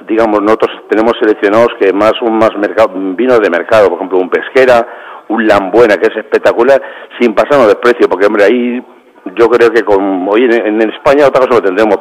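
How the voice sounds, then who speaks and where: A man's voice: lowish voice, poor audio. A restaurant manager calling into a radio show, talking proudly and at length about his food and wine. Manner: proud, talkative, repeats "espectacular". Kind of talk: phone-in radio chat.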